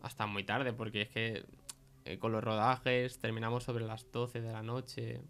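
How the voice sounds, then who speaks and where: A man plays a matter-of-fact film worker answering in an interview, with an accent from Spain. His delivery is conversational and his pitch barely moves at all. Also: little pitch movement, unhurried, fairly bright tone. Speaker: interview guest. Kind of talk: conversational fictional testimony.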